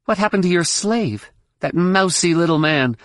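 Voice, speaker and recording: A man's voice: sits high, animated, normal speed, fairly bright tone, no room sound, studio quality, pitched above the performer's own voice. A man voicing a bold young woman warrior, accusing and confrontational, in an audiobook. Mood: challenging. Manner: urgent, confrontational.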